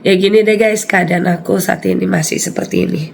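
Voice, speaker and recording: A woman in her forties, hoarse and raspy from a cold, chatting casually to her viewers and laughing often. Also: medium pitch, fairly even intonation, a little fast, slight background noise. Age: 40s. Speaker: vlogger.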